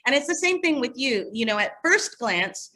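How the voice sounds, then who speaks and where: A woman answering interview questions in a casual, animated storytelling way. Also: sits high, normal speed. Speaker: interview guest, magazine editor.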